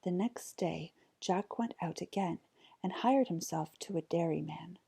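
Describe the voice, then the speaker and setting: A woman reading a folk tale aloud as an expressive storyteller. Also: on the low side, measured pace, creaky, husky.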